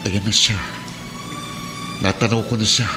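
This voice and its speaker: A man playing a kindly middle-aged Filipino policeman and adoptive father in a radio drama, measured and earnest. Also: fairly low pitch, level tone, lightly acted. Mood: grave, earnest. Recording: dramatic music bed behind the voice.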